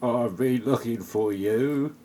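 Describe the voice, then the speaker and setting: A man's voice: mid-range voice, slow, dark tone, close to an everyday voice. A man playing a menacing bandito ex-husband in a spoof audio play, drawing out his threats. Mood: threatening.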